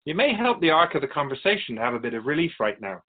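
A man's voice: on the high side, big pitch swings, narrow, tinny audio. A man playing a wise otherworldly keeper of souls in a radio drama, courteous, philosophical and wry as he tells stories. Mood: wry, storytelling. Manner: measured, philosophical, gently challenging. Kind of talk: radio drama dialogue, storytelling.

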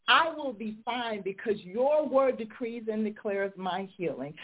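A woman's voice: mid-range voice, husky. A woman hosting a faith talk show, speaking fervently and preaching, congested and recovering from illness. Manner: fervent, decreeing, rising to preaching fervor. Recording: tinny phone-line sound.

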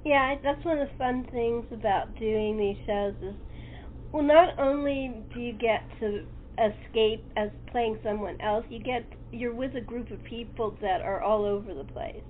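A woman's voice: a little high, moderate pace. A woman hosting a casual interview, chatty and often given to laughter. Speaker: host. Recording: noisy, tinny phone-like line.

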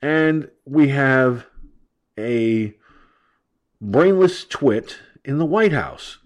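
A man's voice: medium pitch, expressive, drawn-out words. Stuffed-up, profane ranting male talk host. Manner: brash, profane, mocking. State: stuffed up, nasal from allergies. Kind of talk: political talk rant.

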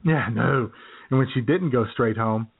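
A man co-hosting a podcast with an ordinary mid-range voice. His sound is thin and narrow, like a phone line. He tells stories in a relaxed way and laughs often. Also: mid-range voice, normal speed, creaky, husky. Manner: laid-back, laughing storyteller. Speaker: podcast co-host. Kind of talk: casual chat. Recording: tinny phone-like lo-fi audio.

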